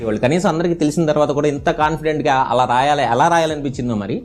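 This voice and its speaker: A man giving animated news commentary in a conversational, explaining way. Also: on the high side, rapid-fire, over music.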